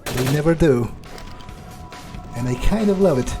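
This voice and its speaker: A man's voice: fairly high pitch, expressive, measured pace, music in the background. A man role-playing a laid-back, wry male vampire in a game playthrough, thinking aloud in a casual, hesitant, sweary way. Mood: wry, pleased. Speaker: gamer role-playing his character. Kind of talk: in-character game playthrough commentary.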